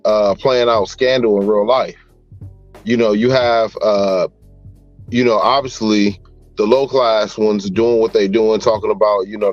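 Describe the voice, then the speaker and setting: A man's voice: fairly low pitch, darkish tone, dull audio. A man on a livestream panel, talking through numbers in a loose, rambling way full of "you know" fillers. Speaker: panel guest. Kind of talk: casual livestream panel talk.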